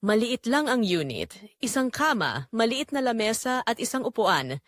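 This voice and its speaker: A woman's voice: on the high side, very animated, moderate pace, a bit bright, dry recording, clean studio sound. A woman narrating a scripted dramatic story.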